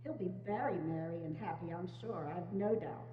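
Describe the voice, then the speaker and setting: A woman's voice: on the low side, moderate pace, close to an everyday voice. A woman playing a devoted, spirited poor wife and mother, tart and plain-spoken, in a dramatic reading. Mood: tart, indignant. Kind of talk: dramatic reading of a stage adaptation. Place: indoors, church. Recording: echoey church, dull sound, clear hum.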